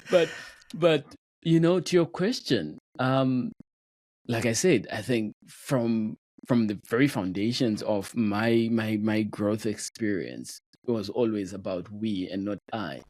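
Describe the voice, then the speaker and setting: Low-voiced man from Zimbabwe hosting. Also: animated, slow pace, no echo. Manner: warm, frequent affirming backchannels and filler words. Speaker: podcast host. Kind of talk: conversational interview.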